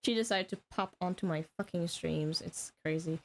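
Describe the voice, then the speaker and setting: A young woman from Denmark chatting casually on a gaming livestream, excitable and giggly, with plenty of swearing. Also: lowish voice, normal speed. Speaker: live streamer.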